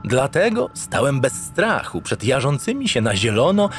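An actor playing a pompous, naive spaceship captain, narrating a comic sci-fi radio play in a theatrical, grandiloquent, digressive way. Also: male voice, medium pitch, sing-song, normal speed, over loud music, natural voice. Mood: earnest, wondering. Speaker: lead actor in an audio drama.

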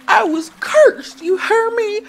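Casting: tearful furious young princess, high and loud, played by a woman narrator. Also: takes it slowly, gravelly, exaggerated voice. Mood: anguished shouting. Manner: shrieking, sobbing. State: crying.

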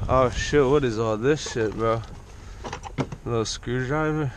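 A man's voice: medium pitch, a little slow. New guy narrating his urban exploring on a head cam, swearing and hyped, big echoey space. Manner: excited, slangy, swearing. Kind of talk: casual running commentary while exploring. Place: abandoned church, indoors and outdoors. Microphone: head-mounted action camera. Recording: long reverb in large empty hall, background noise.

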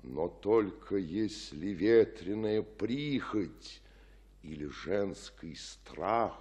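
An actor playing an older, fatherly friar in a radio tragedy, speaking in a husky, measured, solemn declamation. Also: low voice, expressive, a little mellow, full character voice. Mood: urgent. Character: grave fatherly friar counselling a desperate girl. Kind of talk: Russian radio play acting of classical tragedy.

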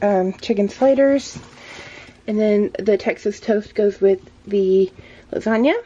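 A woman's voice: fairly high pitch, warm tone, a little hiss or hum. An American woman chatting casually to viewers as a home vlogger, in a slightly muffled indoor recording. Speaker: vlogger showing a grocery haul.

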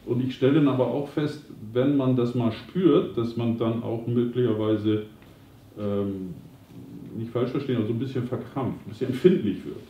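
A man with a mellow, darker voice muses thoughtfully, pausing on fillers. He sounds far from the microphone in a roomy, echoing community space. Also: mid-range voice, muffled recording, a little hiss or hum. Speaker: audience member. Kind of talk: casual discussion. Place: bright community room, indoors. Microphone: distant camera mic. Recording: roomy echo, far from the mic.

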